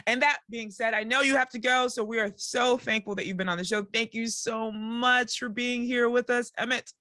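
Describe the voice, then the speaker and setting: A woman co-hosting a podcast over a video call, affirming and quick to laugh as she wraps up the show. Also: a little high, steady tone, bright, forceful tone, dry recording, studio quality. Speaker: podcast co-host.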